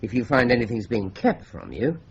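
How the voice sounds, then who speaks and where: An actor playing a dry, understated police inspector in an old radio drama. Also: male voice, medium pitch, huge pitch swings, fairly quick, gravelly, a little hiss or hum. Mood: businesslike. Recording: slightly dull old radio recording.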